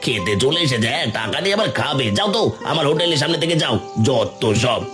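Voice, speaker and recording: A man's voice: on the high side, expressive, fast talker, lightly acted. Man playing scheming cartoon food-stall owner, music behind. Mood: scornful. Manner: hawking, sneering. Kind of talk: cartoon voice acting.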